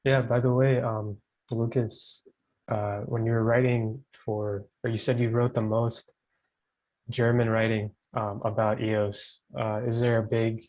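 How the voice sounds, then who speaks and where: A man on a video call asking a halting question, full of ums and rephrasings. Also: mid-range voice, little pitch movement, highs cut off.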